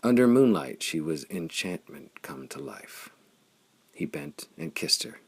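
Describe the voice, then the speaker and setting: Very deep, gravelly male audiobook narrator. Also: sing-song, a little slow. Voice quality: gravelly, husky. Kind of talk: dramatic scripted narration of pulp adventure fiction.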